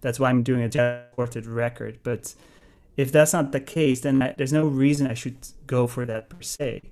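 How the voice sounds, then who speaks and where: A man's voice: medium pitch, steady tone, moderate pace, a little mellow. A man, a jazz pianist and composer chatting in an interview, thoughtful and full of restarts. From Netherlands. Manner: thoughtful, rambling with many restarts and fillers. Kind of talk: casual interview chat.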